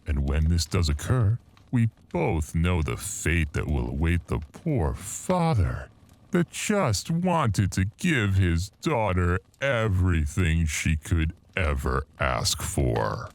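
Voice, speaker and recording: Man acting a deep, ominous storyteller. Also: sing-song, a little hiss or hum, exaggerated voice. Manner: theatrical, grandiloquent, measured. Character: ominous, eloquent male storyteller, grim and proud. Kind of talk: horror anthology narration.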